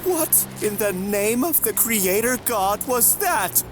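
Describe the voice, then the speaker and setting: A woman's voice: mid-range voice, animated, takes it slowly, music in the background. A woman playing a worried, questioning female cave-dweller with formal wording in a fantasy audio drama. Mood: concerned.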